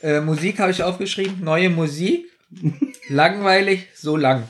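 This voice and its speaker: A man's voice: sits high, a little slow. A man with a slightly raised voice chats casually as a podcast co-host. He jokes at his own expense and sounds a little congested from a cold. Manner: joking, self-mocking. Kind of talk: casual chat.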